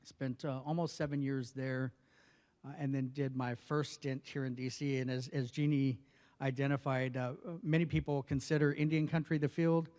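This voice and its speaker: A soft-spoken man in his forties from northwestern New Mexico gives a personal speech at a formal event. He talks at a middling pitch in a flat, steady tone, pausing often with fillers. His voice carries a long reverb from a large hall. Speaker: federal health official giving a speech. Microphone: podium microphone.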